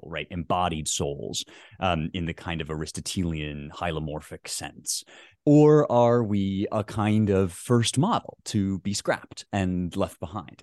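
Man, very low voice, dry close sound, thoughtful academic, halting with fillers. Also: no room sound. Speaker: author and guest. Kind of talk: academic interview talk.

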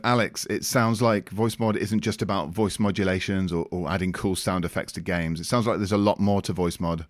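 A man's voice: on the low side, no room sound, studio quality. A man hosting a podcast, introducing the show and interviewing guests in a conversational way. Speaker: podcast host.

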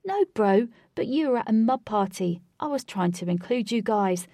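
A woman voicing a laid-back male party organiser who talks loudly and breezily and calls people bro. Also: mid-range voice, expressive, normal speed, no echo, studio quality, natural voice. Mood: placating.

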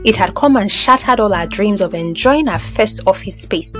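Animated Nigerian woman storyteller. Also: mid-range voice, normal speed, soft background music. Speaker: narrator. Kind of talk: dramatic scripted story narration.

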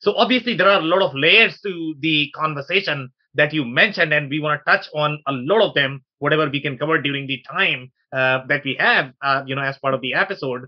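A man's voice: fairly high pitch, brisk, hardly any pauses, dull audio. A man hosting a conversational interview show in an enthusiastic, welcoming manner. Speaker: podcast host.

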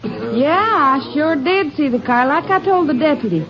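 A woman's voice: high voice, expressive, normal speed, crowd noise. An actress playing an emphatic, talkative American country woman at a gas stop in an old radio western. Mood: eager, sure. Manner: folksy, emphatic. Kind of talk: 1950s radio western acting. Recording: muffled 1950s radio recording.

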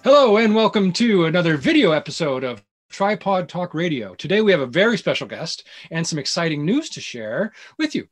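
A man's voice: a little high, big pitch swings, brisk, few pauses, faint music behind. A man hosting a show, reading a scripted introduction in an upbeat way. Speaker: show host.

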